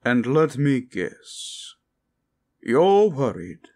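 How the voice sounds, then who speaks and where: A man's voice: medium pitch, big pitch swings, very slow, warm tone, pitched above the performer's own voice, exaggerated voice. A man voicing a brash, sarcastic and impatient adventurer in an improvised fantasy audio roleplay.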